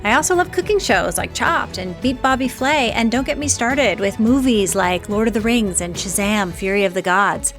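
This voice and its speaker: A woman's voice: mid-range voice, expressive, normal speed, hardly any pauses, fairly bright tone, soft background music. A woman hosting a podcast, speaking in a conversational presenter style. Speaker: podcast host. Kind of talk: host narration, ad reads and conversational interviewing.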